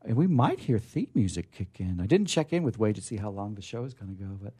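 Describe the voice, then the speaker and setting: A man's voice: lowish voice, lively intonation, quick pace, mellow, breathy edge. A man hosting a radio show in a casual, enthusiastic, rambling way, often repeating his phrases.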